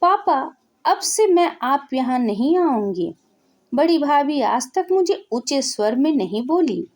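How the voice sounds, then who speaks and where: A woman's voice: high-pitched, sing-song, takes it slowly, darkish tone, full character voice. A woman storyteller voicing a petulant married young daughter, indignant and complaining to her father. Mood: hurt, indignant. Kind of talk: Hindi family storytelling.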